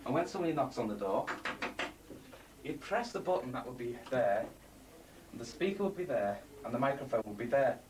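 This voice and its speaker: A man giving a rambling, half-scripted amateur presentation, halting and matter-of-fact with blunt asides. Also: medium pitch. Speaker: presenter of a homemade design project video. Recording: distant mic, faint background noise, amateur home video.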